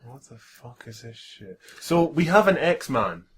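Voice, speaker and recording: A man's voice: mid-range voice, sing-song, unhurried. A man co-hosting with casual commentary, throwing in sarcastic, mock-exasperated interjections. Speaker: co-host of fan fiction reading.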